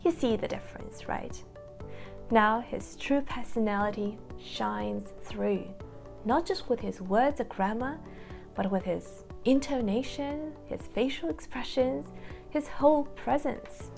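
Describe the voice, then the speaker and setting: A woman English teacher speaks in an earnest, reflective way, addressing the viewer directly. Her voice sits somewhat high, and soft music plays faintly underneath. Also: a little slow, gravelly. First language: Russian. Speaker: English teacher, course founder. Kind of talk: scripted promotional talk to camera.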